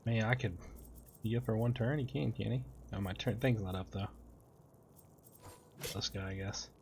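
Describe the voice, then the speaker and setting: A man with a fairly low voice plays a video game and talks through it casually. He mutters to himself as he thinks aloud, with some noise behind him. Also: moderate pace. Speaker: game player and commentator. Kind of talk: casual gameplay commentary.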